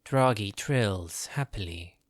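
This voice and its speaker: A man's voice: lowish voice, animated, slow, no room sound. Man narrating fantasy, dry close sound.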